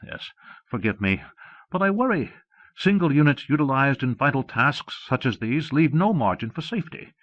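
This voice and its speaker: A man's voice: medium pitch, sing-song, normal speed, no room sound, pitched above the performer's own voice, close to an everyday voice. A man voicing an anxious, devoted female servant creature in an audiobook, earnest and questioning in formal speech. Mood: worried, pleading. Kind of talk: audiobook character dialogue. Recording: dull lo-fi cassette audiobook.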